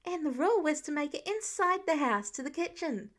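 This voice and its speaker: A woman acts out a competitive young girl from a family storybook. Her voice is high and her manner pert, ready to argue a point. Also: lively intonation, brisk. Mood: objecting.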